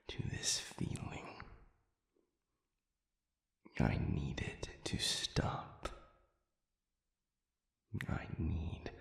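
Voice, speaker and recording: A man playing an anguished demon who has lost his memory, in an intimate audio roleplay, speaking in halting, gravelly half-whispers with formal wording. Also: rumbling low, a bit bright, roomy sound, heavily acted. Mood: scared, pleading. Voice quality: gravelly, breathy.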